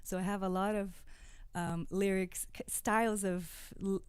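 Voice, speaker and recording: A Brazilian-born woman who grew up speaking Portuguese talks in a relaxed interview exchange as a guest songwriter. She takes her time, with a mid-pitched voice. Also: measured pace, smooth, dry recording. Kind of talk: conversational interview.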